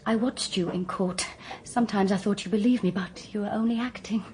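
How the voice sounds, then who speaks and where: A woman acting a hurt, earnest young woman in a radio drama, with emotional, breathy delivery. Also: medium pitch, fairly quick, darkish tone, slight background noise, close to an everyday voice. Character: wounded, earnest, proud young woman cleared of murder.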